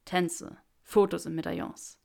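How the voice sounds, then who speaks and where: A woman's voice: fairly low pitch, steady tone, unhurried, vocal fry, mellow. A woman voicing an awkward young male wizard who speaks earnestly and stammers through a tender confession. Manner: stammering, earnest, self-mocking.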